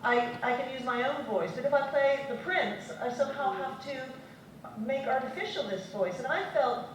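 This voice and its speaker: A woman's voice: high-pitched, moderate pace. A woman moderating a panel, asking questions and calling on the audience. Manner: inquiring, takes questions from the floor. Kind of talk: panel discussion. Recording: echoey hall from far off, faint noise.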